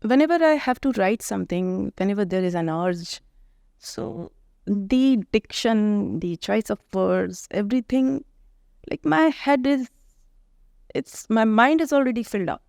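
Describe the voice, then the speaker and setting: A middle-aged woman from India with an Indian accent and Hindi as her first language, talking as a poet and author guest in a thoughtful interview, pausing often to find her words. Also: medium pitch, creaky, a little mellow, dry recording, studio quality. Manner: thoughtful, searching for words with frequent pauses. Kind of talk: reflective conversational interview.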